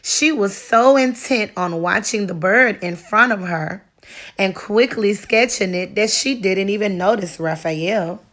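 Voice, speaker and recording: A woman reading a children's picture book aloud with deliberate, expressive storytelling, like a teacher to a class. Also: mid-range voice.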